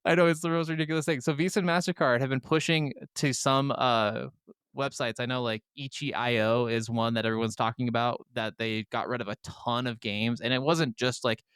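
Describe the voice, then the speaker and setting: A man with a clean, smooth voice co-hosts a casual podcast. He speaks informally at a middle pitch, giving exasperated opinions, and the sound is dry with very little room in it. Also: steady tone, fairly quick, fairly bright tone, no echo. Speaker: podcast co-host.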